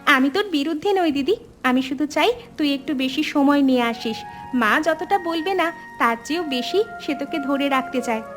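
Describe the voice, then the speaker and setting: A woman voicing a feisty, outspoken young village woman, the younger sister in an animated family drama, quick to protest. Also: high voice, faint music behind. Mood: earnest.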